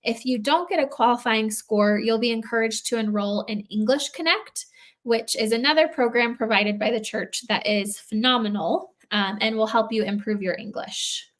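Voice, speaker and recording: Brief: woman, fairly high voice, guest on a livestream Q&A, giving conversational explanations. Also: moderate pace. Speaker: guest answering questions on a livestream.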